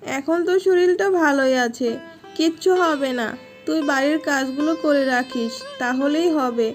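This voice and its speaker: Woman doing an ailing mother fox, high pitch, gentle, weary, affectionate, cartoon dub, music underneath. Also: normal speed, close to an everyday voice. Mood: tender, reassuring. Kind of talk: Bengali children's cartoon dubbing. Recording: music behind the voice.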